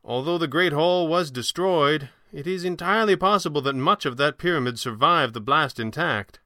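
Pulp audiobook hero, read by a male narrator: gentleman in a mask, bright, assured, wry. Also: mid-range voice, normal speed, smooth, no echo, clean studio sound. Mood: hopeful. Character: confident masked gentleman hero, wry, earnest and reassuring.

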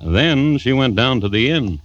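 An actor playing a wry storyteller, narrating a classic radio drama in scripted style. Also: male voice, medium pitch, expressive, measured pace, noisy background, natural voice. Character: wry, unhurried male storyteller of a dark tale. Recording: dull old radio recording. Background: music.

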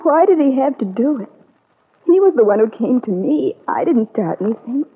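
An American actress playing a heartbroken, brooding young niece in a classic radio serial, wounded and melodramatic in delivery. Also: female voice, on the high side, sing-song, moderate pace, husky, heavily acted. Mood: hurt, resentful. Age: young adult. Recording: tinny narrow-band old radio recording.

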